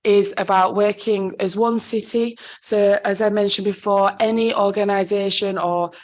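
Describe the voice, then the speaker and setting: A woman with a sore throat and a husky voice, a council digital inclusion officer presenting a report to a committee in a conversational way, with frequent ums and self-corrections. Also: medium pitch, fairly even intonation, moderate pace.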